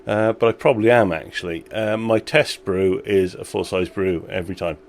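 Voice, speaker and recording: Deep-voiced brewer, laughing easily. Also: male voice, moderate pace, slight background noise. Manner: relaxed, self-deprecating, laughs often. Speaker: brewery owner. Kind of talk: casual interview chat. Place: small home brewery.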